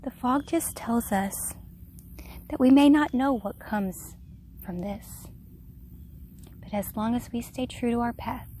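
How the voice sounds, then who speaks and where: Woman doing a guided meditation, really slow and soft spoken, some background noise on it. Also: fairly high pitch.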